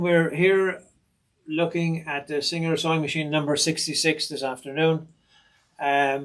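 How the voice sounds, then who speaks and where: A middle-aged man with an Irish accent talks through a repair lesson step by step, methodical and plain-spoken. His voice sits a little high for a man. He often pauses on an um or an uh while he works. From Ireland. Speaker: sewing machine technician. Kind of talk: instructional demonstration.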